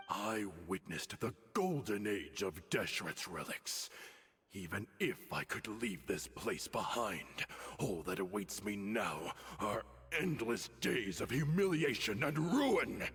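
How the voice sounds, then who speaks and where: Brief: proud, doomed desert warlord for a game, grave and declaiming, pitch swinging widely, long hall reverb with score behind. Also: male voice, fairly bright tone, husky, heavily acted. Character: proud defiant male desert warrior leader. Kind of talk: game cutscene voice acting.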